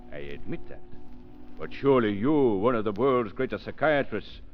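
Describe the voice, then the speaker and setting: An imperious, sneering spy villain. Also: male voice, fairly low pitch, big pitch swings, normal speed, faint music behind. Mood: smug menace. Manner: theatrical, commanding, sneering. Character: imperious villainous male spy, menacing and short-tempered. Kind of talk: 1930s radio drama acting. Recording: dull, lo-fi 1930s radio recording.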